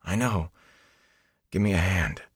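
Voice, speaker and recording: A man's voice: low voice, a little slow, slightly rough, dry recording, clean studio sound, heavily acted. A man as an earnest, strained young farm lad. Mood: weary, strained. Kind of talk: audiobook character acting.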